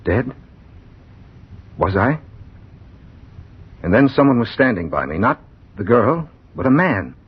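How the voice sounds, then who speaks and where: An actor playing a bewildered, frightened man recounting a strange ordeal in intense, halting radio drama narration. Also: big pitch swings, slightly rough, exaggerated voice. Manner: intense, halting, repeats words in disbelief. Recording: muffled, noisy old radio recording with rumble.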